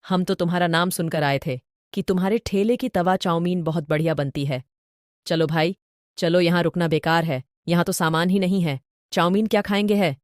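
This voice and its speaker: A woman's voice: fairly low pitch, normal speed, no room sound, clean studio sound, close to an everyday voice. A woman voicing an impatient customer who complains at a street food cart in a cartoon story.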